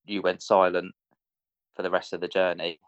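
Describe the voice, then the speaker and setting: A man's voice: low-pitched, little pitch movement, moderate pace, no echo, highs cut off. A man telling a personal story casually and a little hesitantly, as if to a friend. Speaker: interviewee, friend of the interviewer. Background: guitar music.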